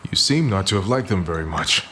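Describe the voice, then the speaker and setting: An actor playing a commanding, condescending boss in a radio play. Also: male voice, sits low, lively intonation, brisk, heavy background noise. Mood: probing. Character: domineering male boss.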